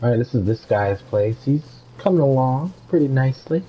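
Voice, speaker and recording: A man's voice: medium pitch, lively intonation, moderate pace, a little hiss or hum. A young man giving casual, slangy live commentary as he streams a video game. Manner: slangy, informal. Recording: slightly dull stream audio. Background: game music.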